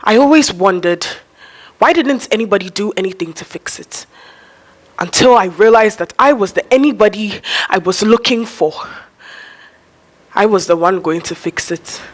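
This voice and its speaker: A woman's voice: mid-range voice, animated, moderate pace, natural voice. Teenage girl acting fervent, declamatory freedom preacher. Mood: defiant. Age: teenage student. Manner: declamatory, impassioned. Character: fervent freedom preacher rallying an enslaved people. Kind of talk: theatrical monologue.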